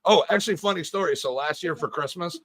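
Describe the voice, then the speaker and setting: A man's voice: fairly high pitch, quick pace, fairly bright tone, no room sound. A man from co-hosting a podcast, telling loose stories full of swearing and acted-out quotes. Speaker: podcast co-host. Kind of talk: casual podcast chat.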